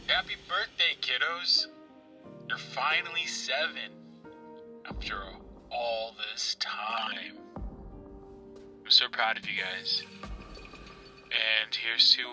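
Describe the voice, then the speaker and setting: An actor playing an affectionate, easygoing uncle in a radio drama, talking casually. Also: male voice, medium pitch, steady tone, drawn-out words, pauses often, pushed and bright, recorded from a distance, faint music behind, full character voice. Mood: fond, proud.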